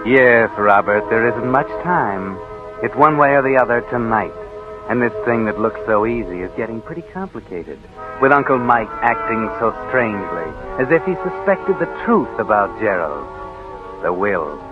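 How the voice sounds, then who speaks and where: An actor playing a brooding, taunting narrator of a 1940s radio crime thriller, ominous and insinuating. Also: male voice, fairly low pitch, expressive, normal speed, close to an everyday voice. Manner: ominous, insinuating second-person address. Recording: tinny 1940s radio recording, loud music.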